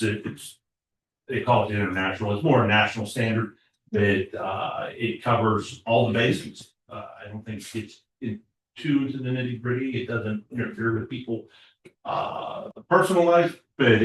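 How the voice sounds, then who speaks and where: A man serving as code enforcement officer, reporting to a borough council with a halting delivery, many filler words and repeated starts. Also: on the low side. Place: municipal council chamber. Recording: echoey chamber audio from far off.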